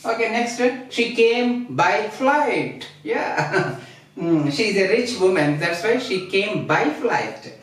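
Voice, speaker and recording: A woman from India teaching English grammar at a classroom board, speaking slowly and deliberately, repeating each example sentence with frequent fillers. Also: medium pitch, lively intonation. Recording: roomy echo, distant from mic.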